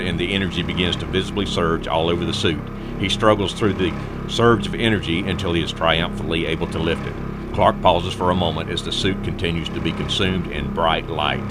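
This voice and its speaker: A man's voice: sits low, heavy background noise. A man giving dramatic, scripted narration as the narrator of an old-style superhero radio drama.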